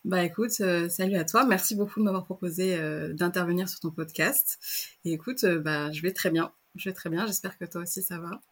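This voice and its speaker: A woman's voice: on the low side, fairly quick, breathy edge. Hesitant young woman, interview guest. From Madagascar. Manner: hesitant, many fillers and restarts. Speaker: podcast guest. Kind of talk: casual interview chat.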